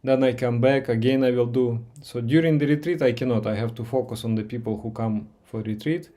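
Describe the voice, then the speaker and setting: A man in at least his forties with a Russian accent talks slowly and softly as a meditation teacher. His voice is warm and mellow, and he pauses often, repeating words and searching for them in English. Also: medium pitch. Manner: slow, pausing, gentle, with many "uh"s and repeats. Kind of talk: live Q&A session with viewers.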